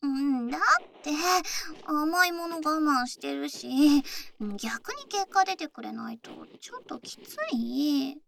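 A very high, girlish young woman's voice, acted by a woman plays a shy, teasing athletic girlfriend in an ASMR voice drama. She speaks slowly and tenderly, close to the mic, often dropping to a whisper. A faint noise sits behind her. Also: creaky, full character voice. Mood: bashful, flustered. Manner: soft, hesitant murmur slipping into whispers, teasing. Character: bashful, affectionate young athletic girlfriend pampering her coach boyfriend. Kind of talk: intimate ASMR situation voice drama. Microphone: close microphone, ASMR style.

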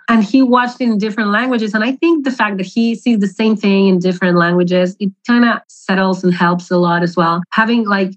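Woman from latin america, lively casual chat, dry dull video-call sound. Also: mid-range voice, dry recording. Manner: lively, laughs easily, says "you know" a lot. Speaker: guest.

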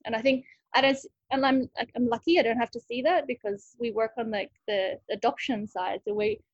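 Husky-voiced woman chatting casually. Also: on the high side, a little fast, dry recording, dull audio. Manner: chatty, thinks aloud with many likes and restarts. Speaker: rescue cat cafe owner. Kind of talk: casual interview answers.

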